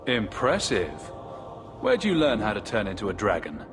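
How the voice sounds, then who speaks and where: A man's voice: fairly low pitch, wildly swooping pitch, moderate pace, noisy background. A man playing a wry, wary young mage in a fantasy game, asking questions in a dry way. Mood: impressed. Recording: game dialogue under clear music.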